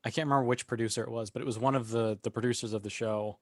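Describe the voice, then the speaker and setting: Guy chatting on a podcast, average pitch, really dry sound, no echo. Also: steady tone, racing. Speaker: podcast co-host. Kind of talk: casual panel discussion.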